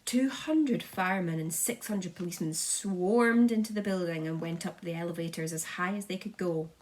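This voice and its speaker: A woman's voice: fairly low pitch, darkish tone. A woman reading a children's story aloud like a teacher, dramatically, with the occasional stumble over a word.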